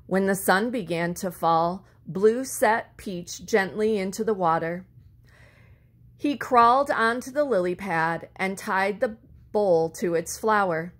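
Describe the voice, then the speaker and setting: A woman reading a children's story aloud expressively, with deliberate phrasing and words drawn out for effect. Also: mid-range voice, a bit bright. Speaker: storybook reader.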